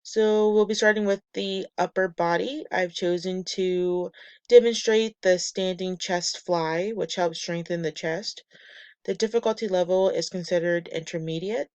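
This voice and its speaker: A woman presenting a class lesson from slides, a bit nasal and raspy from a cold, reading steps and lists in a measured way. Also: fairly low pitch, fairly even intonation, darkish tone, dry recording. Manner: measured, reads steps and muscle lists methodically. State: getting over a cold, slightly nasal and raspy. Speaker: student presenter.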